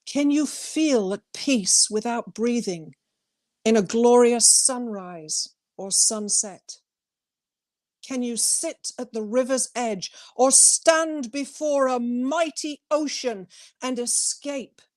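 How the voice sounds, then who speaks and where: A woman with a fairly high voice speaks as a guest poet. Her pace is very unhurried, and she phrases things deliberately, as at a poetry reading. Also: darkish tone. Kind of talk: poetry reading with brief informal introduction.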